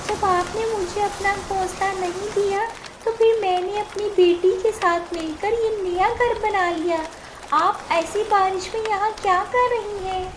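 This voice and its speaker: A woman voicing a gentle, polite mother sparrow in a children's cartoon. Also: extremely high pitch, steady tone, a little slow, noisy background, voice pushed up.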